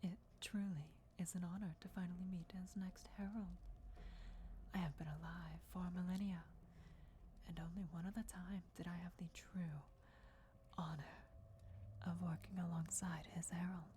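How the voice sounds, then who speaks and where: A woman voicing an ancient, loyal vampire countess in a formal, deferential, measured whisper for fantasy ASMR roleplay. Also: fairly low pitch, flat delivery, warm tone, slight background noise, lightly acted. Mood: reverent, courteous. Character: ancient female vampire countess, devoted herald's speaker, formal and reverent.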